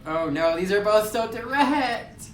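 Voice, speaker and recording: A man's voice: sits high, expressive, measured pace. A man playing through a horror visual novel, reading the game text aloud and reacting nervously to scary moments, recorded on a phone mic some distance away. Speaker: let's play gamer. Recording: distant roomy phone audio with noise.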